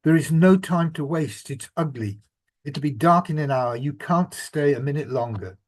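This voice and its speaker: A man's voice: fairly high pitch, lively intonation, moderate pace. A man reading aloud the lines of an agitated caretaker who urges his employer to flee. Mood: urgent. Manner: urgent pleading, read aloud by a speaker. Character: anxious male caretaker urging escape.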